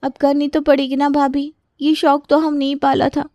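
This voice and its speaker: A woman's voice: sits high, little pitch movement, moderate pace, mellow, no echo, highs cut off, pitched above the performer's own voice, natural voice. A woman voicing a keen, girlish younger sister-in-law in a children's cartoon story. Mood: complaining.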